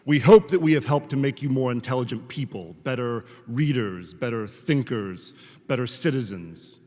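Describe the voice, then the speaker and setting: A man delivers a formal commencement address as a professor, speaking in measured, deliberate phrases with rhetorical pauses. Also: medium pitch, a little mellow. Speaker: professor giving a commencement speech. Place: large hall at a graduation ceremony. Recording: reverberant large hall, amplified speech.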